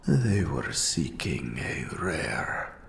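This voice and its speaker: A man playing an eldritch, melancholy keeper of forbidden lore in an audio drama, speaking formally and deliberately in a breathy, gravelly whisper. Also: very deep voice, very animated, fairly bright tone, reverberant, slight background noise, heavily acted. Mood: measured, mysterious. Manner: slow, deliberate, formal, ominous phrasing. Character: eldritch, nameless old archive custodian, formal, patient, melancholy mentor. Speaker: mentor in forbidden magic. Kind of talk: scripted roleplay audio drama.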